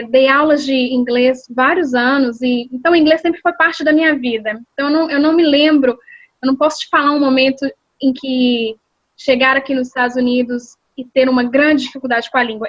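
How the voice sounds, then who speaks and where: A woman's voice: high voice, steady tone, brisk, no room sound, highs cut off. A Brazilian woman working as an executive secretary, answering interview questions thoughtfully with frequent fillers and restarts over a video call. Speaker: executive secretary and English teacher.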